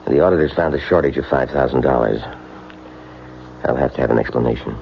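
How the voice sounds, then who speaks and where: An actor playing a stern but understanding office boss, hesitant and measured, in 1970s style radio drama. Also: male voice, rumbling low, creaky. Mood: grave concern. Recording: dull old radio broadcast with hum.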